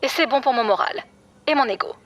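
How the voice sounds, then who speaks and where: A woman's voice: high-pitched, brisk, a bit bright. A woman playing a proud, self-important chief engineer in an audio comedy, playfully pompous. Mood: smug, playful. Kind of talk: scripted audio comedy fiction acting.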